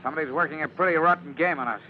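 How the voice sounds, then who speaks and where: A man playing a brisk, exasperated fire company lieutenant in an old-time radio drama, giving clipped, commanding lines. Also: on the high side, close to an everyday voice. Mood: indignant, serious. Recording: dull, lightly noisy vintage radio recording.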